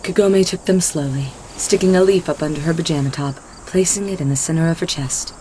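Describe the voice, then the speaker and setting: Lower-voiced woman narrating a story. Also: brisk, dark tone, slight background noise, poor audio.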